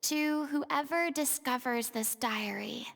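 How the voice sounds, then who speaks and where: A woman voicing a game character who investigates a case, speaking in a measured, thoughtful way and softly reading out clues. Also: sits high, gravelly, slight background noise, heavily acted. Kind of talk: video game voice acting. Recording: game audio with long reverb and music.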